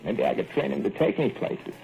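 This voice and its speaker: An actor playing a young, dreamy plumber's helper with a gravelly voice, drawling in 1940s radio drama style. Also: male voice, medium pitch, animated, fairly quick, warm tone, slight background noise. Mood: playful. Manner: drawling, daydreaming small-town talk. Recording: tinny old radio broadcast with faint music.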